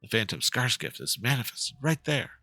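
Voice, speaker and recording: A man's voice: medium pitch, sing-song, moderate pace, bright, forceful tone, no echo, clean studio sound, exaggerated voice. A man voicing a dramatic storyteller who recounts a spooky legend from a fantasy game in a theatrical way. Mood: eerie, suspenseful.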